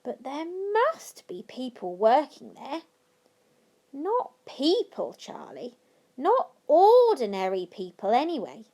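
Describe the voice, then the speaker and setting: A woman's voice: high voice, huge pitch swings, slow, mellow, full character voice. Woman as a kindly old storytelling grandfather, dramatic. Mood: knowing, emphatic. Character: kindly old grandfather, eagerly telling a mysterious tale.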